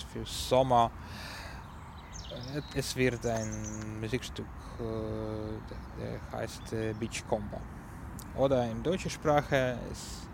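A man with a Ukrainian accent, a native Ukrainian speaker, presenting music on a community radio show in halting German full of fillers as he searches for words. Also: medium pitch, vocal fry, noisy background.

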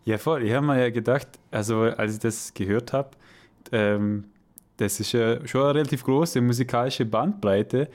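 A man's voice: mid-range voice, normal speed, a little mellow. A man from Vorarlberg hosting a radio show, asking questions in a hesitant, friendly way with light laughs. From Vorarlberg, Austria. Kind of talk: casual interview.

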